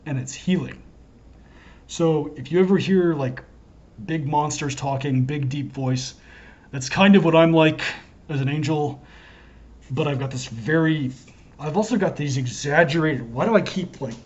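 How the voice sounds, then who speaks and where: A hoarse man talking to camera in a wandering, self-interrupting, earnest monologue as a self-styled prophet. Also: on the high side, a little mellow, muffled recording. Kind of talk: rambling solo monologue to camera.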